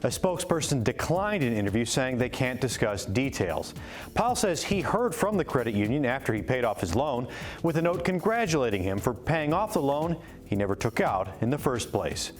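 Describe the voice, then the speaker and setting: A man's voice: medium pitch, sing-song, normal speed, soft background music. A man with an American accent delivering scripted news narration as a reporter.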